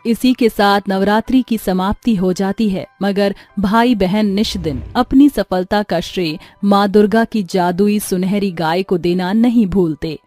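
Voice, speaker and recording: A woman narrates a scripted story. Her voice is soft-edged and mellow, sitting at a medium pitch. Faint music plays underneath. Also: unhurried, few pauses, no echo.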